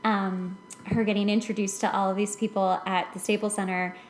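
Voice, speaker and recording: A woman's voice: mid-range voice, flat delivery, normal speed, a little hiss or hum. Chatty American woman in a roomy space. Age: late 20s. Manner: chatty, self-deprecating. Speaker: podcast host and author. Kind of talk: casual personal storytelling in conversation.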